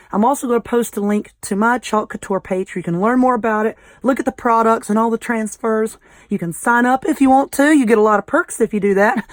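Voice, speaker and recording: A woman with a Southern American accent and a slightly hoarse, croaky, squeaky voice, hosting a casual craft tutorial in a folksy, informal way. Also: no echo. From southern United States. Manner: folksy, informal, self-deprecating. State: voice a little hoarse. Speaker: craft video host.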